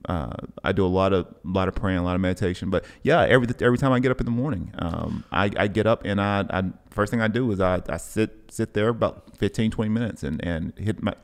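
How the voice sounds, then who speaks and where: A man hosting a podcast in casual conversation, speaking in a halting, reflective way and often repeating words. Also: sits low. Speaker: podcast host, coach. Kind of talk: casual interview chat.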